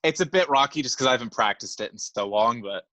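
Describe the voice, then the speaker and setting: Bright-voiced young man gushing about film. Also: mid-range voice, lively intonation, fast talker, no echo. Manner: enthusiastic, filler-heavy, repeats words. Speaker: podcast co-host. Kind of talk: casual film podcast chat.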